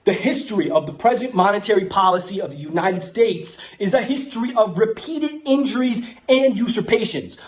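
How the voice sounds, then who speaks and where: A man playing a brash, streetwise, foul-mouthed Bronx guy with a New York accent. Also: extremely high pitch. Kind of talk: casual ranting and mock-solemn declaration reading.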